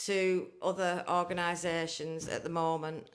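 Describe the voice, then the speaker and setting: A woman's voice: fairly low pitch, level tone, measured pace. A woman interviewing a guest, agreeable and chatty, ending points with tag questions. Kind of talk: conversational interview.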